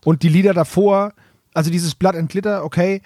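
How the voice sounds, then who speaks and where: Higher-pitched man, slangy and dry-miked. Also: fairly even intonation, a little fast, dark tone, dry recording. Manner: colloquial, slangy. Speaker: podcast co-host. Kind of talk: casual podcast chat.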